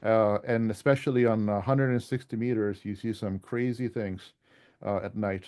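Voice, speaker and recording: Guy doing a casual club slide talk, mellow relaxed voice, chatty and kinda self-deprecating. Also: lowish voice, moderate pace, clean tone. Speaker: author giving a club presentation. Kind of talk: informal slide presentation.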